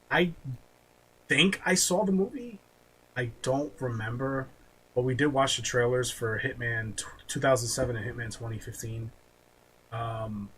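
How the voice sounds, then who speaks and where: A man hosting a podcast in casual chat, with a halting, offhand way of talking. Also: medium pitch, a bit bright. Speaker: podcast host. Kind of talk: casual chat, movie ranking. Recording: clearly noisy background.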